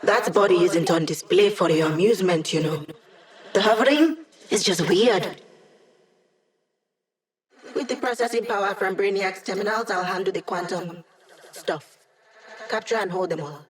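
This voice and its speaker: A woman's voice: medium pitch, normal speed, long pauses, close to an everyday voice. Woman acting cheeky young hacker girl from a video game, breezy n wry, techy squad support chatter. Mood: upbeat, explaining. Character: witty young female hacker, a digitized mind, cheeky squad helper.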